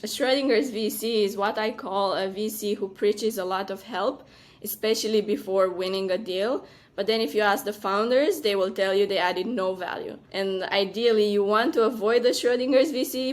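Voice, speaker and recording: A woman from Romania in her thirties, a venture partner answering interview questions in a measured, earnest way with frequent ums. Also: medium pitch. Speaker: venture capital partner, guest.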